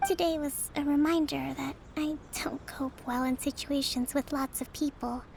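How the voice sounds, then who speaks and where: A woman voicing a young female divine priestess who leads an army, composed and authoritative in a measured way. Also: sits high, steady tone, creaky, background noise. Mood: soft reflective. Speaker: commander. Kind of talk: video game voice acting. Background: game music.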